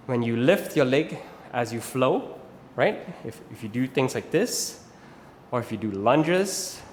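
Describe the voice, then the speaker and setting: Malaysian-accented man, thirties, rope flow instructor, patient explanatory tone, long reverb, faint background hiss. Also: medium pitch. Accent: Malaysian English. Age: 30s. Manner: patient, explanatory, often ends points with "right?". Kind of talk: instructional video talk.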